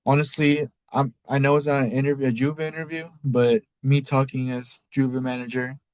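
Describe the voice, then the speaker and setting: A man's voice: mid-range voice, steady tone, moderate pace, dry recording. A man with a Mexican accent hosting a casual league recap podcast, rambling loosely and cussing now and then. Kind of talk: casual solo recap talk.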